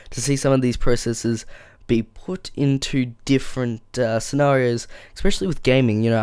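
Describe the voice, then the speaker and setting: A man with a croaky, flu-worn voice talks through a casual solo podcast, drifting between ums and exasperated rants. His tone stays smooth and resonant on a close boom-arm microphone. The foam-lined room sounds completely dry. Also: a little mellow, dry recording. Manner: rambling, many ums, frustrated rants. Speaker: podcast host. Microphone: boom-arm studio microphone.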